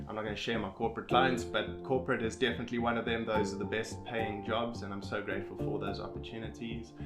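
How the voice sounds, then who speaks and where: A man from South Africa, a freelance videographer, talking casually and steadily about his work. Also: mid-range voice. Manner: relaxed, steady, with fillers. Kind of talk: casual talk to camera. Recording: roomy sound with loud guitar music. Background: guitar music.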